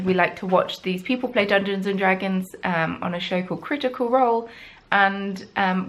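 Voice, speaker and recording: A young woman from the south of England with a Southern English accent, hosting a casual crafting podcast and chatting in a rambling, self-deprecating way. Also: medium pitch. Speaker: podcast host. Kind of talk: casual chat.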